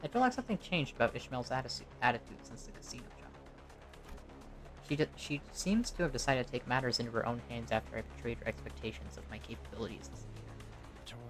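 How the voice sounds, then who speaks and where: A woman's voice: a bass voice, fast talker. A woman reading scripted story narration as an amateur voice-over, now and then stumbling over the text, with music behind her.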